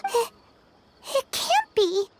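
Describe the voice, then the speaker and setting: A very high-pitched, swooping little fairy companion speaks in a childlike, exclaiming way. She is caring and earnest in game-character style, with music playing behind her. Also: big pitch swings, takes it slowly, full character voice. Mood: concerned. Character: small squeaky excitable female fairy companion, caring and earnest. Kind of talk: animated game voice acting.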